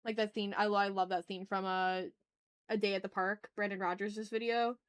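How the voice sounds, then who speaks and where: A woman with a mid-pitched voice chats casually as the host of a drawing stream. She is candid and informal, talking through her thoughts out loud and dropping curse words freely. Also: level tone, normal speed, clean tone. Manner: informal and candid, swears freely, thinks aloud. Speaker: art livestream host.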